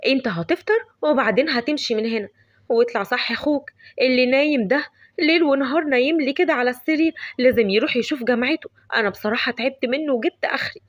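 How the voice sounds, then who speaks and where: A woman's voice: high-pitched, dry recording, clean studio sound. A woman doing a nagging, doting Egyptian mother. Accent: Egyptian Arabic. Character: fussing older Egyptian mother.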